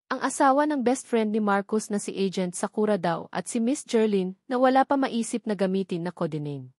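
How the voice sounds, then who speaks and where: A woman's voice: mid-range voice, animated, brisk, darkish tone, dry recording, studio quality. A woman reading a romance novel aloud in the first person as a scripted narration. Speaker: audiobook narrator.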